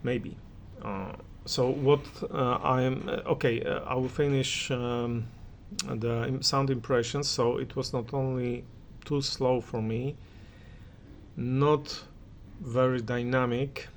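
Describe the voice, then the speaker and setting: A man's voice: mid-range voice, a little hiss or hum. A man gives an unscripted spoken review of audio equipment, speaking hesitantly and often searching for his words. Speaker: audio reviewer giving listening impressions.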